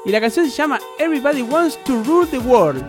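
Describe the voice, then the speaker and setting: A man's voice: very high-pitched, lively intonation, fairly quick, music in the background. A man with an Argentine Rioplatense accent, a radio host telling a nostalgic story in a chatty, rambling way full of tag questions.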